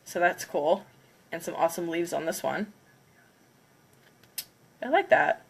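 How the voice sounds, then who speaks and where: A woman's voice: on the low side, animated, a little slow, vocal fry, husky, low-quality recording. A congested woman chatting casually on a livestream, counting down before smashing plates. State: congested from a cold. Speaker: craft livestreamer.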